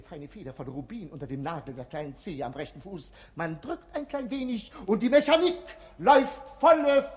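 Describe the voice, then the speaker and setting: A mischievous jester in a 1950s radio play. His high, swooping voice delivers mock-grand fairground patter, ringing through a long hall reverb. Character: mischievous, mocking jester announcing like a showman.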